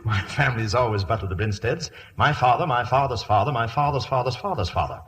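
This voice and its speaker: Man playing a cheeky, pompous butler, bright voice. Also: medium pitch, expressive, fast, close to an everyday voice. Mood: droll, mock-solemn. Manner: mock-formal, deferential patter. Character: cheeky, pompous English butler, unflappable wisecracker. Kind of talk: old-time radio comedy acting. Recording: old radio recording, faint noise.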